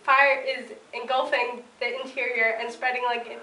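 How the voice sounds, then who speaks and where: A woman's voice: a little high, level tone, moderate pace, bright, forceful tone. A woman playing an urgent, anxious royal messenger in an amateur stage play, earnestly reciting her lines. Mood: alarmed. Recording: distant echoey room, faint background noise.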